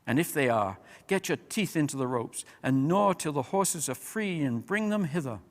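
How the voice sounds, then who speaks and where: A man's voice: a little high, animated, moderate pace. Storybook warrior king, man reading: raised, commanding, urgent calls, long hall reverb. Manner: calling out orders, urgent. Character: bold commanding young king rallying his followers.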